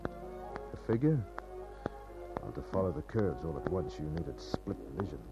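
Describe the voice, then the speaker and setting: A man's voice: extremely low pitch, a little slow, over music, full character voice. A man playing a cynical, laconic private detective in a 1950s-style radio crime drama. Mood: deadpan, hardboiled. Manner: laconic, deadpan, wisecracking. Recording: dull, hissy old radio recording with hum.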